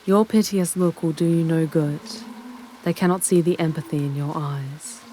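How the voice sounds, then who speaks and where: A woman's voice: deep voice, normal speed, dark tone, a little hiss or hum, close to an everyday voice. A woman playing a disdainful, sultry female demon guide in an ASMR roleplay, speaking formally and theatrically to the listener. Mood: scornful. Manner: haughty, theatrical, formal phrasing.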